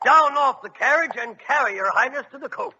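Casting: a rollicking rat coachman for children's radio drama, extremely high-pitched, jaunty and hollering, on a muffled, very noisy old recording. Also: expressive, a little fast. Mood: bossy, hollering. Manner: jaunty, hollering, rustic grammar. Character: jolly boisterous coachman magicked from a fat rat.

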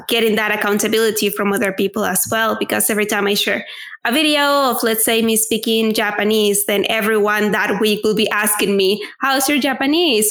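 A woman's voice: medium pitch, fairly bright tone. A woman in her twenties from Venezuela, a native Spanish speaker, answering interview questions candidly with frequent fillers. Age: 20s. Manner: open, candid, with filler words like "like" and "um". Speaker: podcast guest.

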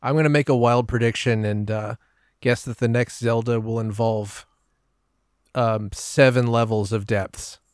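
A man's voice: mid-range voice, steady tone, unhurried, smooth, no echo, studio quality. A man chatting casually as a podcast guest, acting out his own reactions as he tells stories. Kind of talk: casual chat.

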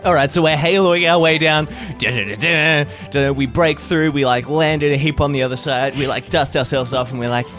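A man playing an improvised tabletop game, bantering casually in an animated, jokey way. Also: a little high, a little fast, hardly any pauses. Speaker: player in an improvised audio drama. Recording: music behind the voice. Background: music.

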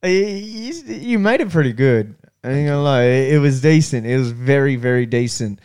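A man's voice: medium pitch, lively intonation, normal speed, a little mellow, smooth. A man from Brazil hosting a podcast, talking casually in slang, swearing freely and saying "bro" and "eh". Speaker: podcast host.

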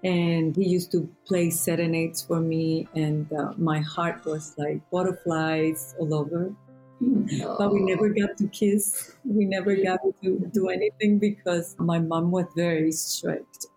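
A woman recalls personal memories sentimentally, in a dark, mellow voice on the low side. Guitar music plays behind her. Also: steady tone, measured pace, low-quality recording. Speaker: discussion participant. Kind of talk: personal reminiscence. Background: gentle guitar music.

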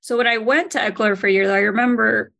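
A woman from the United States chatting as a podcast co-host, telling stories quickly with frequent fillers. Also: on the high side, vocal fry. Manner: rapid, animated storytelling with many fillers and laughs. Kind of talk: casual chat.

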